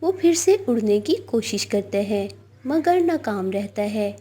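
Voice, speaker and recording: A woman's voice: medium pitch, expressive, unhurried, dark tone, husky. A woman storyteller reading scripted narration for a children's cartoon.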